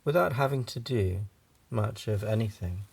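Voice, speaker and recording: A man leading a guided relaxation meditation, speaking in gentle, reassuring, halting phrases with long pauses between them. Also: fairly low pitch, animated, creaky, breathy edge. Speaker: yoga nidra meditation guide.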